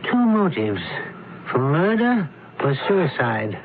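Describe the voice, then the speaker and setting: A man's voice: fairly high pitch, big pitch swings, slow pace, vocal fry, exaggerated voice. A man playing a shrewd, commanding doctor-detective in a radio mystery, speaking in a clipped, imperious way. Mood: wary. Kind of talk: radio mystery drama acting. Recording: muffled, faintly noisy old radio broadcast.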